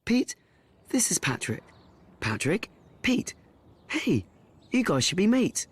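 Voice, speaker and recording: A man's voice: sits high, very animated, slow, breathy edge, heavily acted. An actor playing an anxious, awkward schoolboy who narrates his woes in a rambling radio play monologue, correcting and repeating himself. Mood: dejected, frantic. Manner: rambling, repetitive, self-correcting.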